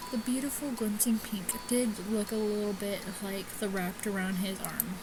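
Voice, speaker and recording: A woman's voice: mid-range voice, steady tone, moderate pace, over music, rough recording. A woman reading a visual novel aloud casually, stumbling over the text and adding offhand comments. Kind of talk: casual let's-play read-aloud with commentary.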